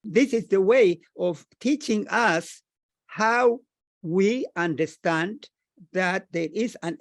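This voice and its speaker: A man speaking earnestly and deliberately as a panelist in a reflective discussion, repeating phrases for emphasis. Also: fairly high pitch, dry recording. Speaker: panelist, scholar.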